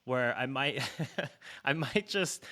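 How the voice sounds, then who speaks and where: A man's voice: mid-range voice, animated, very slow, sharp tone. A man in his forties hosting a podcast, chatting casually in a rambling, joking way and laughing often. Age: 40s. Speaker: podcast host. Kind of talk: casual interview chat.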